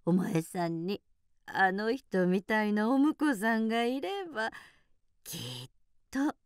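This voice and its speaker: A woman's voice: mid-range voice, takes it slowly, clean tone, no echo, studio quality, close to an everyday voice. A woman playing a kindly, fussing old grandmother field mouse with old-fashioned phrasing, in a radio drama. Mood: chatty, admiring.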